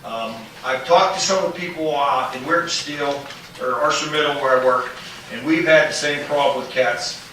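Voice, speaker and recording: A man's voice: fairly high pitch, moderate pace, sharp tone. A man chairing a city council safety meeting, talking hesitantly with many ums and restarts. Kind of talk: public meeting discussion. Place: council chamber. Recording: very echoey hall, distant, some noise.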